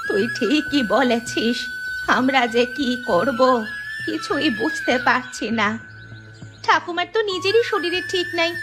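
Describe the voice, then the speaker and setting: A woman playing a distressed, lamenting poor village woman in a cartoon, with a plaintive, wailing delivery. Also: very high-pitched. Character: distressed, lamenting poor village women who lost their home. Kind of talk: Bengali cartoon dialogue acting.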